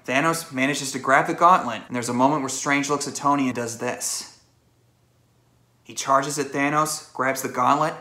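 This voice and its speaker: Excitable man with a bright, echoey voice. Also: mid-range voice. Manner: excitable, emphatic, acts out reactions. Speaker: movie reviewer. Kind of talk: animated video movie review, retelling scenes.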